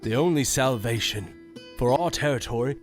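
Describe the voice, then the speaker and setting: An actor playing a young, wary sheriff in a fantasy audio drama, formal and grave. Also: male voice, medium pitch, normal speed, music in the background, close to an everyday voice.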